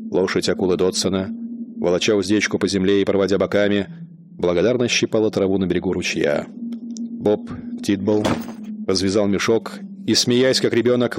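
A man narrating a radio play in a measured, dramatic storytelling manner. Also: on the low side.

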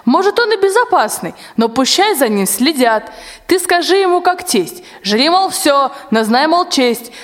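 A female performer playing a sharp-tongued, suspicious old nanny in a rhymed radio play, scolding in peasant speech. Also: high voice, sing-song, a little slow, exaggerated voice. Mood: indignant, wary. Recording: long hall-like reverb.

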